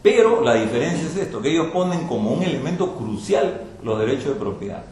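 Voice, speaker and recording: A man's voice: on the high side, sing-song, a little fast, a little mellow. A man commenting on a book at a public panel, speaking hesitantly, repeating words and adding rhetorical tag questions. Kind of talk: spoken commentary at a public event. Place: institute event hall. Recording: very echoey lecture room, faint noise.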